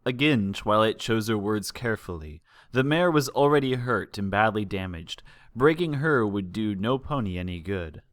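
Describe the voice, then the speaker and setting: A man's voice: fairly low pitch, animated, normal speed, no echo. A man reading a fan fiction story aloud as a scripted audiobook narration. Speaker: audiobook reader.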